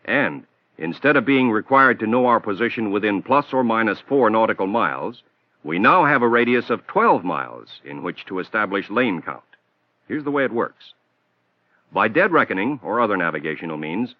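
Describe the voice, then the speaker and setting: Male narrator for an instructional film, measured and lively in pitch, dry, phone-thin sound. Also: no echo. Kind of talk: scripted technical narration. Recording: tinny narrow-band old film soundtrack.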